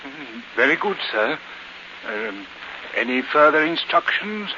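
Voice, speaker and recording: An actor playing a nervous, deferential butler in 1940s radio style, halting and stammering. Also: male voice, fairly high pitch, expressive. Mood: uneasy, deferential. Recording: muffled, hissy 1940s radio recording.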